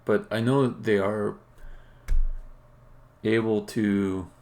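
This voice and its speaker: A man who is a game developer, talking casually and haltingly as he thinks aloud during a live coding stream. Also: fairly low pitch, fairly even intonation, darkish tone.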